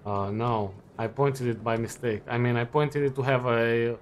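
A young man in his twenties talks casually while he plays, drifting from thought to thought with plenty of "uh"s and restarts. His voice sits at an ordinary middle pitch, with a faint ambient hum underneath. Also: normal speed. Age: 20s. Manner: relaxed, rambling, frequent fillers and restarts. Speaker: student gaming while chatting. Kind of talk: casual chat over gameplay.

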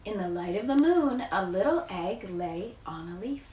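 A woman's voice: mid-range voice, animated, normal speed, dark tone. An American woman in her thirties reading a children's picture book aloud. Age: 30s. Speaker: teacher. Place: living room, indoors. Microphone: camera a few feet away. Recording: distant echoey room, faint noise.

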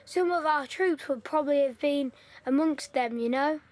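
A woman's voice: high voice, normal speed, a little mellow, natural voice. An English actress playing a chatty village girl telling of her father and wartime life, in a radio play. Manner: chatty, plain storytelling with fillers.

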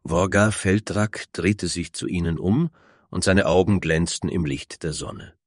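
A man's voice: sits low, a little slow, no room sound, clean studio sound. A man narrating a scripted fantasy story.

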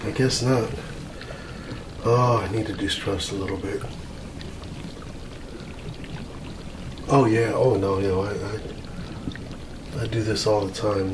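A man playing an eager, self-important mad scientist doctor in an ASMR roleplay, musing aloud in halting short phrases. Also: lowish voice, lightly acted. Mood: musing, checking. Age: middle-aged. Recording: roomy, distant mic with loud noise.

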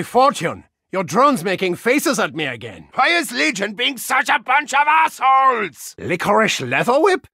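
A brash, violent soccer hooligan from a video game, with a raspy, gritty voice pitched high for a male. He is theatrical and boastful, swinging wildly between low mutters and big bellows. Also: sharp tone, no room sound, studio quality, full character voice. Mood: rowdy shouting. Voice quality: gravelly, raspy. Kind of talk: video game character voice lines.

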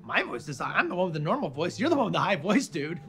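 An American man playing a dim, excitable barfly in a sitcom, with a high-pitched voice and a stammering, repetitive way of talking. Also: quick pace, over loud music. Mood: indignant. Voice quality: high-pitched, crazy-sounding voice. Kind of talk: sitcom acting. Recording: played back from a TV show.

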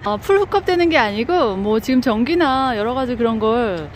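A woman's voice: a little high, lively intonation, normal speed. A woman vlogging as she tours a campground, chatty and exclaiming often, recorded outdoors on a phone. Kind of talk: casual vlog narration. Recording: outdoor phone audio with clear background noise.

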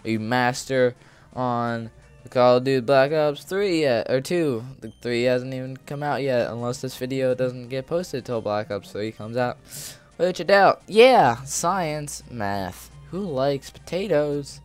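A man's voice: mid-range voice, measured pace, clean tone. A man giving casual, rambling commentary over a video game, joking in a goofy way and often repeating himself. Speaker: gaming video creator. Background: video game music.

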